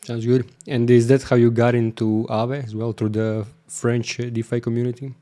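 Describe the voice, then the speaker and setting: A man's voice: medium pitch, flat delivery, moderate pace, warm tone. A man hosting a podcast, asking his guest questions in a loose, informal way with plenty of fillers. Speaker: podcast host. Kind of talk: casual podcast interview.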